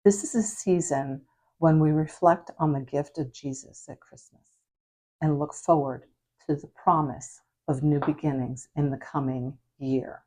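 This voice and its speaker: A woman delivering an earnest devotional message, her voice raspy and hoarse as she recovers from illness, often trailing softly at the ends of phrases. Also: low voice, fairly even intonation, a little slow, rough recording. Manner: prayerful, reflective. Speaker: preacher.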